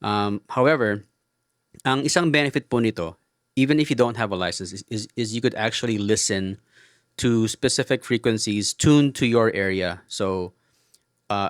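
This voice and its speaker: A middle-aged Filipino man giving a casual explanatory product talk, speaking politely and haltingly and slipping between English and Tagalog. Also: fairly low pitch, dry recording, studio quality. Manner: hesitant, polite, switches between two languages mid-sentence. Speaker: presenter explaining radio gear.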